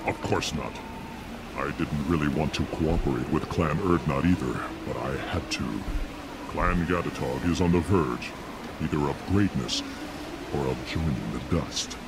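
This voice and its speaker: Very deep, scheming alien clan boss. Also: male voice, a little slow, noisy background. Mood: cold, scheming. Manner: cold, calculating, condescending. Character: scheming, disdainful male alien krogan clan leader. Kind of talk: dramatic video game acting.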